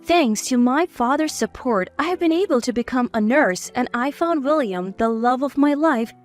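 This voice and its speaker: A woman narrates a family drama as a scripted first-person story. Her voice is notably high-pitched, and faint music plays behind her. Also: lively intonation, normal speed.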